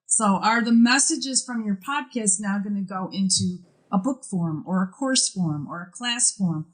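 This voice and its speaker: A woman's voice: medium pitch, measured pace, low-quality recording. A woman in a casual group podcast chat, inspirational and fond of repeated rhetorical questions. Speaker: podcast guest.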